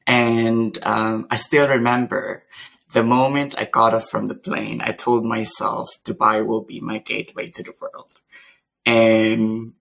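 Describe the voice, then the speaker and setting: A man from the Philippines, a podcast guest, earnestly telling his story in a measured way. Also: lowish voice, little pitch movement, vocal fry, a bit bright, poor audio. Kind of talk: conversational storytelling.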